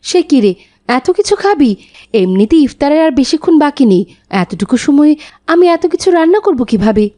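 Cartoon mum voiced by a woman: high, mellow voice, coaxing, gently nagging, soft-hearted. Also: big pitch swings, moderate pace. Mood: gentle nagging. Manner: coaxing, motherly. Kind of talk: cartoon dialogue acting.

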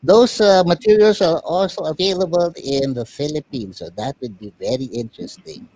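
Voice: mid-range voice, normal speed, a little mellow, slight background noise, rough recording. A host interviewing guests on a live online show over a video call, making hesitant, announcer-style introductions.

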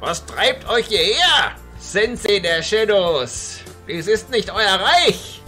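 A man playing an imposing archchancellor illusionist, booming and stately, in a fantasy audio drama. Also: very animated, takes it slowly, bright, forceful tone, faint music behind, exaggerated voice. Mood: commanding.

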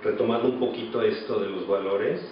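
A man's voice: lowish voice, fairly even intonation, fairly quick. A Mexican man in his forties giving reflective answers as an actor being interviewed. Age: 40s. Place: small office indoors. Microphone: camera mic at a distance. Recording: echoey, distant, dull, noisy room.

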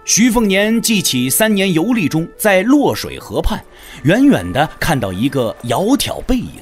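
Male narrator for martial-arts drama; lively intonation, slightly high voice, scripted storytelling. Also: moderate pace, faint music behind.

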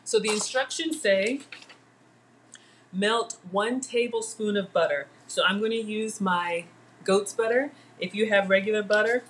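A woman's voice: mid-range voice, fairly bright tone, a little hiss or hum. A middle-aged woman hosting a casual live cooking demo from her home kitchen, chatting with viewers as she works. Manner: chatty, talks to viewers and names commenters. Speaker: home cooking livestream host. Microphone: fixed camera at counter distance.

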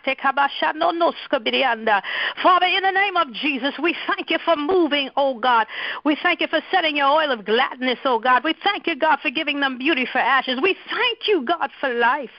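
A woman's voice: high voice, dry recording, dull audio. Fervent woman praying aloud with scripture. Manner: fervent, intoning, with tongues. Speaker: prayer leader. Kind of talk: fervent spoken prayer with scripture.

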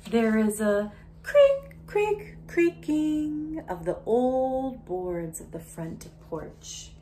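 Expressive woman reading aloud to children, swooping pitch. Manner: expressive, sing-song on sound words, with hushed asides; slow, deliberate reading with questions to the listener. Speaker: children's story reader, educator. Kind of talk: interactive read-aloud of a picture book for young children. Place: small indoor room at home. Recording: home recording with faint background noise.

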